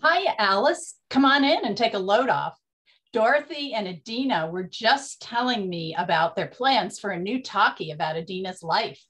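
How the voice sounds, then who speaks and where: A woman's voice: medium pitch, animated, moderate pace, fairly bright tone, close to an everyday voice. A woman playing a breezy, unrepentant 1920s bootlegger boss in an audio drama. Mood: breezy, welcoming.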